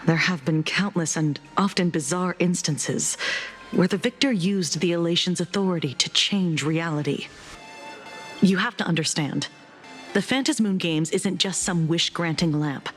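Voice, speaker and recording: Female game official, played by an actress: poised, probing, dryly teasing, lowish register, reverberant sound. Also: faint music behind, close to an everyday voice. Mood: cool, explanatory. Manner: formal, measured, probing. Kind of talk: video game story dialogue.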